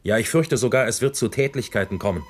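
A man's voice: mid-range voice, quick pace, background noise, natural voice. An actor playing a worried, reproachful male campaign adviser in a radio play. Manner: urgent, reproachful.

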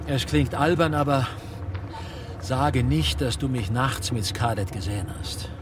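An actor playing an agitated, self-pitying middle-aged teacher in a radio drama, emphatic, impatient and sardonic. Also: male voice, mid-range voice, measured pace, close to an everyday voice. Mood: sardonic, pleading. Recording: street-like traffic noise behind the call.

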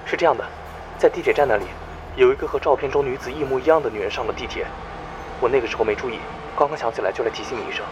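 A man's voice: medium pitch, rapid-fire, background noise, lightly acted. A man acting a concerned young friend giving an urgent warning, in an audio drama.

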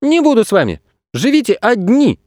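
A little boy throwing a spiteful tantrum in a storybook tale. The voice is pushed very high and swoops about. Every phrase is a petulant, defiant shout. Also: wildly swooping pitch, normal speed, no echo, clean studio sound, exaggerated voice. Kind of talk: children's story reading.

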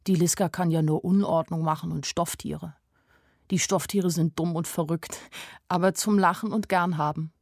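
A woman's voice: on the low side, steady tone, normal speed, dry recording, studio quality. An actress playing a candid, naive nineteen-year-old woman who tells her own story plainly in a radio drama. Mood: candid, wry. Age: young adult. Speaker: actress narrating as the main character. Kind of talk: radio drama first-person narration.

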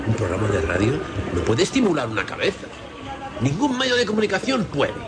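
An actor playing a sceptical, fussy gentleman in a Spanish radio play, querulous and condescending, with a Castilian accent. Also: mid-range voice, big pitch swings, fairly quick. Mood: indignant. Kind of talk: Spanish radio theatre acting.

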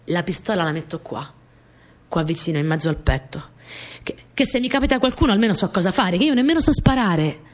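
An actress playing a small, earnest, easily flustered young wartime partisan courier, telling her story in an intimate, expressive radio drama monologue. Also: lowish voice, a little fast, lightly acted. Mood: shy, wry.

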